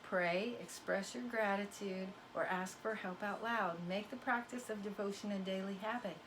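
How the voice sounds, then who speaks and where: A woman whose voice is wearing hoarse, with the odd cough, talks straight to her viewers as a spiritual card reader. She is emphatic and a little preachy, leaning on folksy turns of phrase. Her pitch sits in an everyday middle range. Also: steady tone, moderate pace, background noise. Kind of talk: casual motivational talk to viewers.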